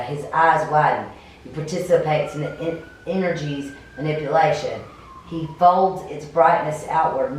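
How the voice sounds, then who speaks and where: A woman doing a cold read of a story game aloud, stumbling over words and adding casual comments. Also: low voice. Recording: very echoey room, distant mic, faint noise.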